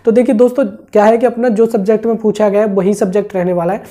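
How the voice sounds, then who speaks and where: A man's voice: sits high, level tone, fast, warm tone. A man giving an informal explanatory briefing as an online video host, repeating his points and often adding small check-in tags. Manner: repetitive, with frequent check-in tags. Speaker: exam-update YouTube host.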